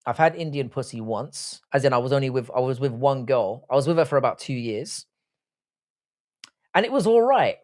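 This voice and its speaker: A man's voice: medium pitch, lively intonation, moderate pace. A man in his early forties with a British accent, hosting a casual livestream chat in a blunt, crude, slangy, jokey way. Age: early 40s. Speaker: livestream host. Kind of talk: casual chat, reading and answering live comments.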